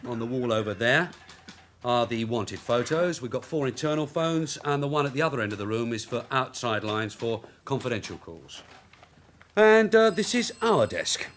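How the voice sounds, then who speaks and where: A man's voice: medium pitch, expressive, moderate pace, fairly bright tone, slight background noise, close to an everyday voice. A man playing a streetwise, long-serving detective sergeant in a radio drama, offhand and wry. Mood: wry, matter-of-fact. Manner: offhand, wry, matter-of-fact. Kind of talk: British radio drama acting.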